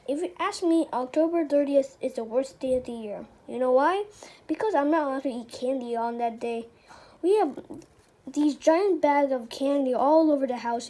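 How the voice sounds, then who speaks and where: A woman's voice: high voice, normal speed, dark tone. A female reader reading a children's chapter book aloud, often stumbling over words and going back over phrases.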